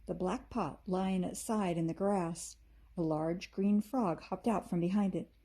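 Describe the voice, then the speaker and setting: A woman with a husky voice reading a children's story aloud expressively, like a teacher to her class. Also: on the low side, fairly even intonation, a little slow, dark tone.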